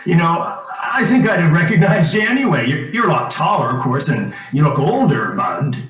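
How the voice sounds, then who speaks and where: An echoing radio-play voice with music behind it: a man playing a folksy, wheedling ex-police chief. He is somewhat high-pitched, swoops around a lot, and stammers his way into every pitch he makes. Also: sing-song, normal speed. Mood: eager, coaxing. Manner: hesitant, stammering, folksy, coaxing. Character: insistent, wheedling former small-town police chief. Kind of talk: old-time radio drama acting. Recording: roomy echo, music underneath.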